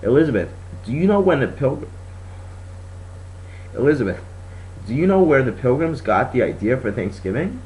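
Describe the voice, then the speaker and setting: A man voicing a kind but firm female schoolteacher in a storybook reading, speaking with deliberate emphasis. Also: medium pitch, very animated, a little mellow, slight background noise, natural voice, rough recording. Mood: instructive.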